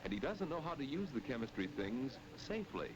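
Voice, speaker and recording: A man's voice: mid-range voice, sing-song, fairly quick, breathy edge, lo-fi sound. A man narrating in the style of a 1940s educational film, on a noisy old film soundtrack.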